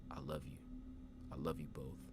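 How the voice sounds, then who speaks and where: A man's voice: rumbling low, level tone, moderate pace. A man voicing a frightened but firm mother giving urgent instructions. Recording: clear background noise.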